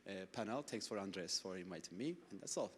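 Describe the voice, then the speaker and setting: A man's voice: low voice, expressive, a little fast, a little hiss or hum. A man with a Turkish accent briefly introducing himself as a panelist. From Turkey. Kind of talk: brief live self-introduction. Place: conference hall, before an audience. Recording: echoey hall with long reverb.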